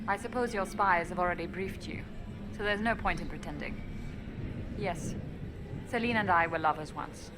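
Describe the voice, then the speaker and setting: A woman's voice: lowish voice, background noise, natural voice. Actress as a composed, guarded elven spymaster woman. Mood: dry, composed. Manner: measured, matter-of-fact, dry. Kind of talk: video game dialogue acting. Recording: music behind the voice. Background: game music.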